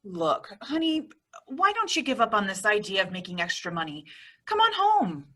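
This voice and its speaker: A woman playing a sensible, exasperated young woman with a wry, brisk manner in an old-time radio comedy. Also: mid-range voice, huge pitch swings, sharp tone, full character voice.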